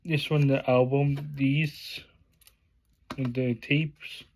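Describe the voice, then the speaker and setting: A man talking casually through an unboxing vlog, halting with many fillers and restarts, praising what he shows. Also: medium pitch. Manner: plain enthusiastic praise in a flat delivery. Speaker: vlogger.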